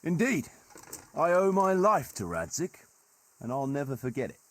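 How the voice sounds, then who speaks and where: Husky, wry medieval mercenary lord. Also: male voice, fairly high pitch, very animated, moderate pace. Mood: earnest, grateful. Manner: colloquial, archaic turns of phrase, wry. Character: gruff, wry medieval nobleman turned mercenary leader. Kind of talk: dramatic storytelling in a video game cutscene.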